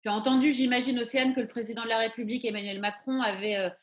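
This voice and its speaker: A woman in her thirties co-hosts from a room on a video call. She has a rather high voice and moderates the discussion by putting questions to guests. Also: fairly even intonation, very fast. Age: 30s. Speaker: co-host. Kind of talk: debate moderation, asking questions.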